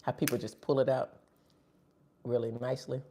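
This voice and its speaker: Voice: mid-range voice, flat delivery, brisk, warm tone. A live-stream host chatting casually between bites of food, sniffling. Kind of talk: casual live-stream chat while eating.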